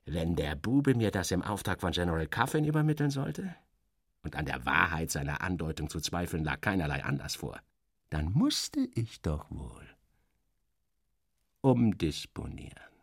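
Guy reading satirical fiction, lowish voice, wry and theatrical, pauses for effect, super dry studio sound. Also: sing-song, vocal fry, breathy edge, no room sound. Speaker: narrator reading a literary satire. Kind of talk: theatrical scripted first-person narration.

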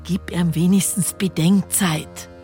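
A woman playing an anxious, cautioning confidante in a radio drama, husky and with a Bavarian accent. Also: on the low side, steady tone, slow pace, dark tone, soft background music.

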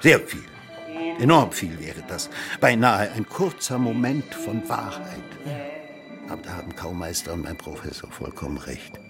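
A man's voice: low-pitched, expressive, moderate pace, over music. An actor playing a world-weary, cynical man who philosophizes in a rambling, theatrical, mock-courteous way in a radio drama. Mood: mocking, bitter. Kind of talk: German radio drama dialogue.

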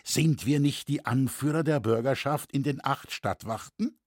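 A self-important tailor from a historical storybook, voiced by a man, pitched up above the reader's usual voice. He harangues shrewdly in rhetorical, pleading phrases, with a husky edge. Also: normal speed, darkish tone, no echo, studio quality, natural voice. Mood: urgent, persuasive. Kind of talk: audiobook character acting.

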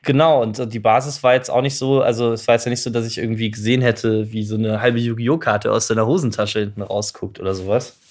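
A man's voice: fairly low pitch, fairly even intonation, fast talker, few pauses. A man of around 30 from Freising near Munich, talking casually and hesitantly as he recalls his own story. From Freising near Munich, Germany. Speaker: podcast guest telling his own story. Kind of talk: casual conversation.

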